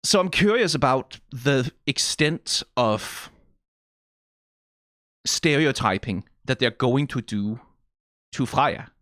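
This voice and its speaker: A man's voice: mid-range voice, animated, slow pace, pauses often, fairly bright tone, no echo, studio quality. A young man with a Danish accent, talking casually and wryly to the camera as a reaction YouTuber, playful and swearing for emphasis. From Denmark (Funen). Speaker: YouTuber reacting to a game trailer. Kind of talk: casual commentary.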